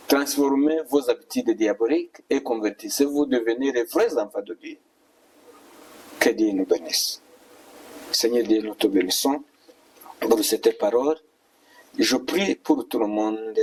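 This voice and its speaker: A man's voice: medium pitch, darkish tone, recorded from a distance, slight background noise, rough recording. A male preacher, delivering an admonishing, repetitive exhortation as a sermon. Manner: admonishing, repetitive rhetorical questions.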